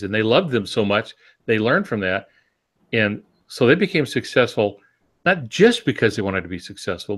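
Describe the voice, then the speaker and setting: Low-voiced man chatting as podcast co-host. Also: fairly bright tone. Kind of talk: conversational podcast discussion.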